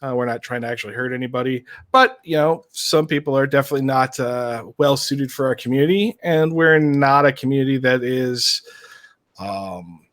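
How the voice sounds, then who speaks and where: A man's voice: mid-range voice, normal speed, a bit bright. A man leading a gaming guild, talking on a casual live-stream panel, joking and rambling with lots of fillers.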